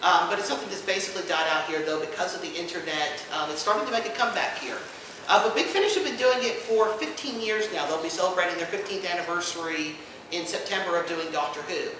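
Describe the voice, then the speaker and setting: High-voiced man in an echoey room. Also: quick pace. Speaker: panel host and podcast co-host. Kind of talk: informal convention panel presentation. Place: indoor convention panel room. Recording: echoey room, distant mic, background noise.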